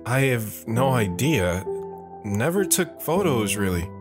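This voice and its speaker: A man's voice: medium pitch, very animated, takes it slowly, over music. A man playing an earnest, awkward teenage boy who tells his own story in an audio drama. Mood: sheepish. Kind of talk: first-person dramatic narration with acted dialogue.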